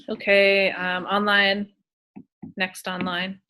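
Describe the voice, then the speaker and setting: Woman teacher calling on students, unhurried, laughs easily. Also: medium pitch. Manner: relaxed, laughs often, joking. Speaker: course instructor. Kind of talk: leading class introductions.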